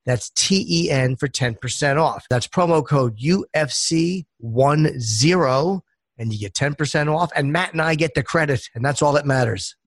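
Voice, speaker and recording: A man's voice: a little high, normal speed, slight background noise. A man hosting a podcast, reading a sponsor ad in an upbeat promotional way. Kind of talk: ad read.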